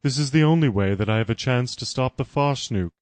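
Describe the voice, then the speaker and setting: A man's voice: medium pitch, brisk, darkish tone, dry recording, voice pushed up, close to an everyday voice. A man voicing an indignant, earnest queen, a strong woman, with a formal, declamatory manner in a parody story. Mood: solemn, resolved.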